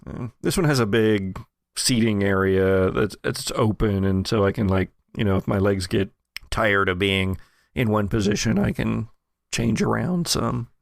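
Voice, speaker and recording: A man's voice: lowish voice, unhurried, vocal fry, no echo. An American man hosting casual banter among friends with dry, joking understatement. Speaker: podcast host.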